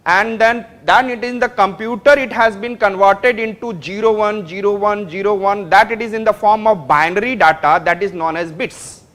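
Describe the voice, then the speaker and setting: A man with an Indian English accent teaches a class, recorded in a classroom. His voice sits high, and he repeats phrases as he builds each point, stopping often to ask whether his students understand. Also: a bit bright. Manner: repetitive, explains step by step, checks understanding. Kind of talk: classroom lecture.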